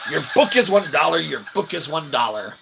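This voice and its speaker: A man hosting a philosophy monologue, speaking in wordy pseudo-academic phrases with slangy asides and a gravelly, creaky voice. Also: a little high, sing-song, normal speed, noisy background. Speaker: host of an independent philosophy show.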